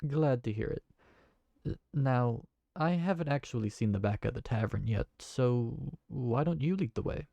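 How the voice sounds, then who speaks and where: A man's voice: medium pitch, animated, normal speed, creaky, mellow, dry recording, muffled recording. A man voicing a kind, awkward tavern keeper who talks in a hesitant, friendly way. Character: kind, awkward young male tavern keeper named Briar. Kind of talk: fantasy audio roleplay.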